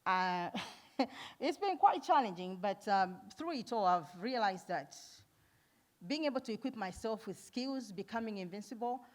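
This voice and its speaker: A middle-aged woman from Zambia, a nurse giving a conference talk, earnest and warm, laughing often and pausing with fillers. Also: medium pitch, lively intonation. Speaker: nurse and foundation founder speaking at an event. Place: event hall.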